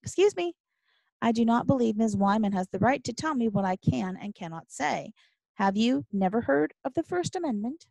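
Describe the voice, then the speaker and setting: A woman's voice: a little high, expressive, moderate pace, gravelly, a little mellow, no room sound, poor audio. A woman voicing an earnest, opinionated seventh-grade girl in an audiobook, speaking emphatically and righteously. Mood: indignant. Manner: righteous, emphatic, formal wording. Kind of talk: audiobook character dialogue.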